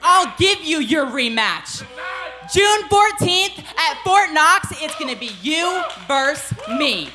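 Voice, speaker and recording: A young woman wrestling champion, proud and defiant, talking slowly in a high voice. Her words ring through an echoey arena with steady background noise. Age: 20s. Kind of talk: wrestling promo interview.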